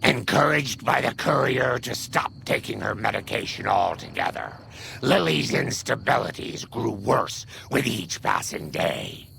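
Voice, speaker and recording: A man playing a grim game narrator with a gravelly voice and an ominous, drawn-out delivery. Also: mid-range voice, lively intonation, pushed and bright, heavily acted. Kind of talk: scripted dramatic game narration. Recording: faint background noise.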